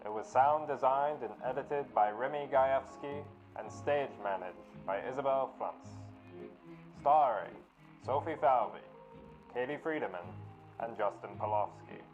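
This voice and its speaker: A man's voice: mid-range voice, unhurried. A man reading scripted credits as the host of a radio play. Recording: dull lo-fi sound over music. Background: music.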